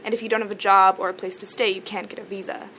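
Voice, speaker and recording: A woman's voice: mid-range voice, little pitch movement, very fast, pushed and bright, slight background noise. A young woman from South Africa, a native Afrikaans speaker, chatting easily as a musician guest, often giggling and joking dryly. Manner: giggly, easygoing, dry jokes. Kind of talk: casual interview chat.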